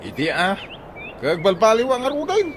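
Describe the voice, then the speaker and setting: A man's voice: high voice, sing-song, normal speed, slight background noise. A man with an Ilocano accent playing a whining, pleading jailed son in a radio comedy drama. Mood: defensive, sulky. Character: whining, sulking jailed son, a comic thief and drinker.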